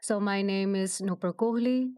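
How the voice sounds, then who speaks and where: A woman from the Netherlands, speaking earnestly and in measured phrases as an interview guest. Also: medium pitch, a little mellow, clean tone. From Netherlands, Amsterdam. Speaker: guest, politician and children's doctor. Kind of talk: interview answers, earnest personal account.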